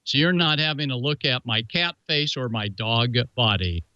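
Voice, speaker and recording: A man's voice: medium pitch, lively intonation, moderate pace, pushed and bright, dry recording, highs cut off. A man hosting a show and presenting amateur radio club news in a folksy, jokey announcer's way. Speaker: show host.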